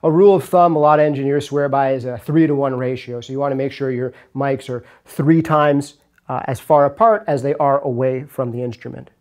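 A man's voice: medium pitch, steady tone, brisk, a little mellow. A man in his thirties presenting an instructional video to camera in a casual, explanatory way. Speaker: audio engineering video host and instructor. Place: recording studio rooms, indoors.

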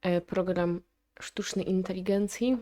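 A woman giving casual reaction commentary, reading text aloud and thinking out loud in a chatty, inquisitive way. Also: on the low side, fairly even intonation, unhurried. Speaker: video creator reacting to analog horror.

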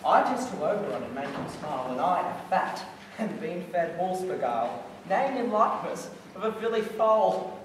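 A man's voice: a little high, natural voice. A teenage boy playing a mischievous fairy sprite in a declamatory, playful Shakespearean stage monologue. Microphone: distant camera or microphone. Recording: very echoey hall from far off, noisy.

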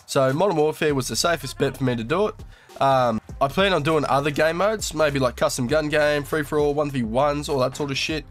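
A young Australian man talks over his own gameplay, crude and relaxed, swearing freely. His voice is a little nasal from a cold. There is a faint music bed underneath. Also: on the high side, brisk. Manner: crude, swearing, laid-back slang. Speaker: gaming YouTuber. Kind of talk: casual commentary over gameplay.

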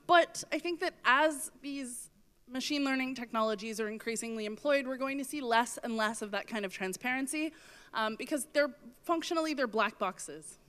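A woman's voice: on the high side, a bit bright, smooth. A woman in her thirties giving a festival talk on stage with a handheld mic, losing her voice, earnest and self-correcting. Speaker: festival speaker. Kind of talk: conference talk. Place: large festival tent stage.

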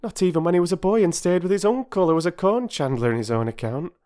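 A humble, emotional working-class mother who rattles on in rambling dialect, as a male narrator voices her. Her voice sits high above the reader's own and tumbles out quickly. Her lines swing freely in pitch. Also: animated, dark tone, natural voice. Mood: overcome. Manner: rambling dialect speech, rushing on.

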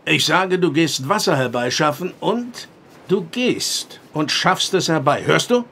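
An actor playing a stern, pious farmer father in a radio drama, gravelly and formal in speech. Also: a little high, lively intonation, a little slow, pushed and bright, slight background noise, exaggerated voice. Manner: formal, commanding.

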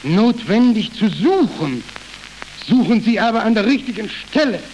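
A man's voice: sits high, sing-song, moderate pace, darkish tone, voice pushed up. A man reading the part of a young, radical freedom fighter in a stage play, declamatory and insistent. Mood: urgent. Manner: declamatory, pressing, emphatic. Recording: noisy, crackling historical archive recording.

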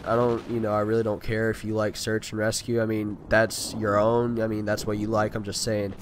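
Sick guy with a blocked nose and a slightly low voice, rambling gameplay commentary, gunfire sounds clearly audible behind. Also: monotone, a little fast, noisy background. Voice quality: nasal. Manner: rambling, talkative, frequent fillers. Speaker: gaming video creator. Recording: voice over loud gunfire game audio. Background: video game gunfire and sound effects.

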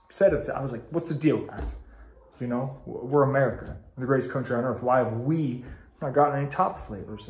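A man's voice: mid-range voice, darkish tone. A man in his thirties, congested, giving a chatty, enthusiastic video review to the camera in a small room at home. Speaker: ice cream reviewer. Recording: roomy home recording.

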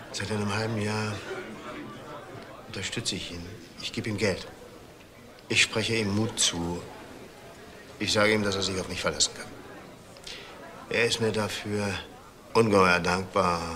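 Male actor for articulate, self-justifying middle-aged man, crime drama; deep register, measured phrasing with pauses. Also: natural voice. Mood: grave, insinuating. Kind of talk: German TV crime drama dialogue.

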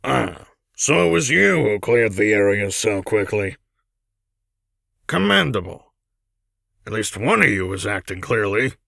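A man playing a stern, displeased government official in an audio drama, curt and authoritative, with a gravelly voice. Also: lowish voice, big pitch swings, unhurried, long pauses, a bit bright, no room sound, clean studio sound, heavily acted. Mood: clipped, official. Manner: curt, authoritative reprimanding delivery.

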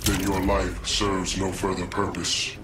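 An actor voicing a cold, arrogant machine intelligence in a video game, speaking in a deadpan, formal, menacing way. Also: male voice, low voice, unhurried, very noisy. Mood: cold menace. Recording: loud music over the speech.

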